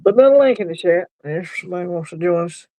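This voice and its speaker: Easygoing male stream host, slightly high voice, gravelly edge, rambling talk. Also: lively intonation, quick pace, mellow, low-quality recording. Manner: rambling, easygoing, laughs easily. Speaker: livestream host. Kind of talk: casual chat.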